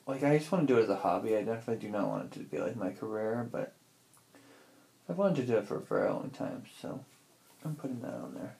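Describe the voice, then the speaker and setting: Creaky-voiced young man thinking aloud. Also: fairly low pitch, very animated, fast talker, mellow. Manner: rambling, self-interrupting, informal. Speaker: personal audio diarist. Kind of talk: casual thinking-aloud monologue.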